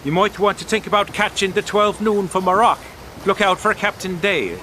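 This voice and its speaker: An actor playing a humble, knowing poet passing on tips in a terse manner, in a wartime audio drama. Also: male voice, sits high, fairly quick, sharp tone, a little hiss or hum. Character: cryptic, humble poet and tipster, quietly righteous. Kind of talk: period audio drama acting.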